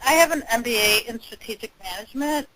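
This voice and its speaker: A woman's voice: a little high, fast talker, a bit bright. A woman asking questions as a participant on a group conference call, in a casual way. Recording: noisy conference call line.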